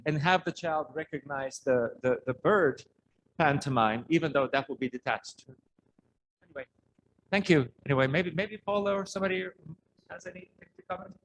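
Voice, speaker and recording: A man giving an informal academic comment, halting and rephrasing as he goes. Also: a little high, fairly bright tone, slight background noise, rough recording. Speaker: academic discussant.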